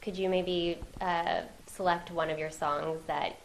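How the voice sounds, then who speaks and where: Gravelly-voiced woman interviewer. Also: on the low side, steady tone, measured pace, a little hiss or hum. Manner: hesitant questions, soft encouraging backchannels. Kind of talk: casual interview questions.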